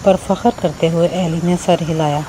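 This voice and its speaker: A woman with a slightly low voice narrates a scripted story for children. She is the storyteller, and there is clear background noise with insect ambience behind her. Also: steady tone, normal speed, mellow, poor audio.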